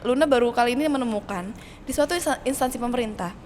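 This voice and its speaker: A woman's voice: fairly high pitch, a little mellow. A woman hosting a casual, playful interview chat, often echoing back what her guests say. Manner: playful, quick to echo answers. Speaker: host. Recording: noisy, long echoey reverb.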